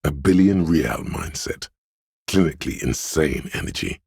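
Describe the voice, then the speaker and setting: Very deep, husky, smug hustler. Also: male voice, animated, measured pace, darkish tone, no room sound, clean studio sound, full character voice. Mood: encouraging, flamboyant. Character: smug, goading inner voice of a hustler, suave and theatrical. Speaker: video game voice actor. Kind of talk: theatrical video game voice lines.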